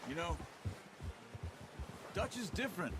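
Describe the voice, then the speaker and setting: An actor playing a calm, watchful, pragmatic outlaw hunter in a video game cutscene, speaking in a measured, matter-of-fact way. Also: male voice, high-pitched, very noisy. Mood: reflective, sincere.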